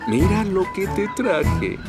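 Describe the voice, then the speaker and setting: Cartoon casting: heroic, friendly fireman, Latin American Spanish, high male register, unhurried, over loud music. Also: big pitch swings, heavily acted. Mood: coaxing. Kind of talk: children's cartoon dubbing.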